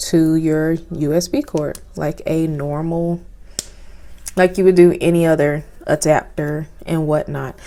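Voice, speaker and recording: A soft-spoken young woman, chatting casually with lots of fillers as she reviews cameras at home, recorded on a phone with a clip-on mic. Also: sits low. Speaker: vlogger. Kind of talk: casual product review vlog. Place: home, indoors. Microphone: phone with clip-on lapel mic.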